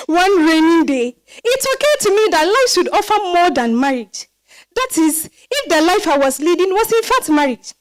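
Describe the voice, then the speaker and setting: An actress playing a wry, regretful married woman telling her story in an expressive dramatized monologue. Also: very high voice, moderate pace, poor audio. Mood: wry, reflective. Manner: expressive, reflective.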